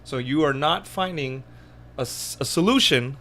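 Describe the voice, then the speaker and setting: A man's voice: mid-range voice, moderate pace, pushed and bright. A man co-hosting a podcast in casual chat, joking around and tripping over his words. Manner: playful, jokey, stumbles over words. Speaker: podcast co-host.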